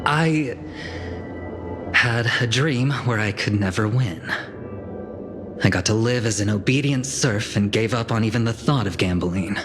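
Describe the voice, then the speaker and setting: An actor playing a jaded, grumbling gambler in a game, talking in a sardonic, conversational way. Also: male voice, lowish voice, unhurried, pauses often, natural voice. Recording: music behind the voice.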